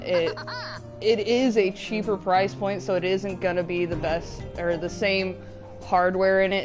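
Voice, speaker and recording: A woman hosting a gaming chat in a casual, teasing way, chatting and reading out comments. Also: on the low side. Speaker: gaming show host, reading viewer chat. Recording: loud music behind the voice. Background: music.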